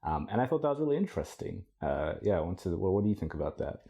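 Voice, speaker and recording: Male podcast co-host, very low pitch, warm dark tone, halting conversational delivery. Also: big pitch swings, gravelly. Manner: hesitant, thinking aloud. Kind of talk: casual podcast chat.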